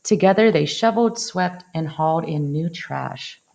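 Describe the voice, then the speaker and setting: A woman reading a picture book aloud as a storyteller, in an expressive, dramatic way. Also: sits low, moderate pace, creaky, lo-fi sound. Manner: dramatic, acts out exclamations. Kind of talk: expressive children's read-aloud.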